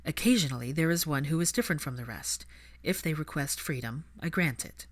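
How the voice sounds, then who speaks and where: A woman narrator voicing a patient, wry young aristocratic father in a measured, dry way for a fan fiction reading. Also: low-pitched. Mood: calm, matter-of-fact.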